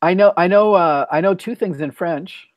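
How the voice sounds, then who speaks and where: A man's voice: sits high, normal speed, dry recording, muffled recording. Casting: American male host, conversational and informal, dry close recording, video-call language chat. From United States. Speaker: host of an English practice chat. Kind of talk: casual chat.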